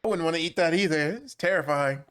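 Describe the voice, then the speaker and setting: Chill guy with a kinda high voice, chatting about a movie and laughing along. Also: fairly quick, a bit bright, clear, resonant tone. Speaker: podcast co-host. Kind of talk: casual movie review chat.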